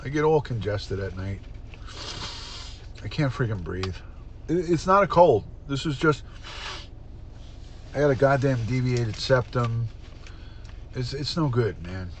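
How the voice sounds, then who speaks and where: A man's voice: mid-range voice, lively intonation, a little slow, pauses often. Middle-aged man, retired detective, stuffed-up nose, chatty livestream host, faint background noise. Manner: chatty, greets and answers chat viewers by name. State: congested, stuffed-up nose, sniffling. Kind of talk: casual livestream chat with viewers.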